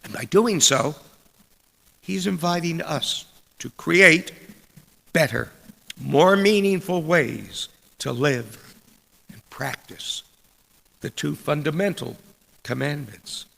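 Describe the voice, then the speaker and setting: Slow, gravelly-voiced man preaching in echoing space. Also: on the high side, lively intonation, bright, forceful tone. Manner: deliberate, measured, broken into short phrases with pauses. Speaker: preacher reading a sermon. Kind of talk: scripted sermon read aloud. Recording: long church reverb.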